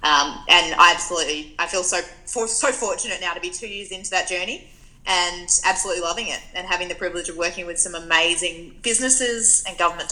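A young woman speaking as an interview guest. Her voice sits a little low but sounds bright and forceful. She tells her story reflectively, often restarting her sentences. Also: low-quality recording. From Australia. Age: 20s. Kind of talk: conversational interview answers.

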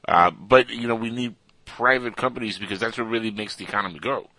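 A man hosting a casual interview, excitable and loose, full of "you know" and "like". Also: lowish voice, quick pace, no room sound, poor audio. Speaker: podcast host.

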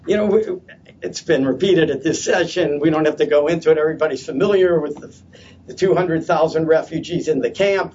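A man speaking loudly at a conference panel, hesitant and halting with many fillers and restarts. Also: on the high side, a little hiss or hum. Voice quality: loud voice. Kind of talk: unscripted conference talk. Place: conference session room. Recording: distant, dull lo-fi conference audio.

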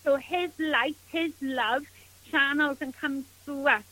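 A woman's voice: sits high, slow, sharp tone, poor audio. A woman with a Welsh accent, a spiritual healer speaking as a guest on a radio interview, earnest and gushing with thanks.

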